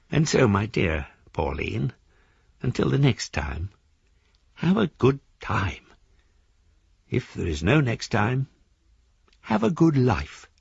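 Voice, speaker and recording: Husky, creaky elderly eccentric. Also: male voice, low voice, dry recording, dull audio, heavily acted. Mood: fond, courteous. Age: older. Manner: pedantic, mischievously formal, genteel. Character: Julius Hutch, elderly eccentric maverick, wryly courteous letter writer. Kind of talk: radio drama monologue, reading a letter aloud.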